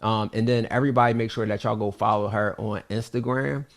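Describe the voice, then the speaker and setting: A man's voice: on the low side, fairly even intonation, brisk, creaky. A man hosting a live online class, thanking a guest and rallying the audience with loose, rambling hype. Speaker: host.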